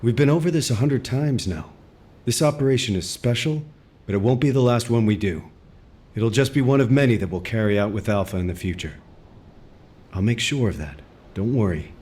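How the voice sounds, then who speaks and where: A man voicing a steady reassuring squadmate. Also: medium pitch, brisk, close to an everyday voice.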